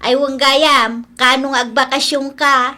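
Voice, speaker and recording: Actress as aging Ilocano mother-in-law, plaintive and touchy. High-pitched, bright delivery, comedy drama. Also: little pitch movement, slow pace, full character voice. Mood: fond, wistful.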